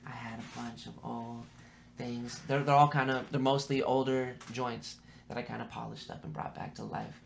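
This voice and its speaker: A man from San Francisco with a gravelly, creaky voice talks casually as a podcast's musician guest. He is loose and slangy and swears freely. There is faint noise in the room behind him. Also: mid-range voice, flat delivery, normal speed. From San Francisco, United States. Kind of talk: casual podcast interview chat.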